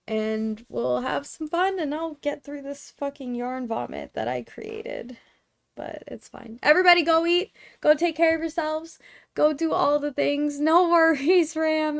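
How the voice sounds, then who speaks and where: A woman streamer chatting casually and rambling with lots of fillers, tired and with her voice going hoarse. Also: high-pitched. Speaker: craft streamer. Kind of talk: casual livestream chat.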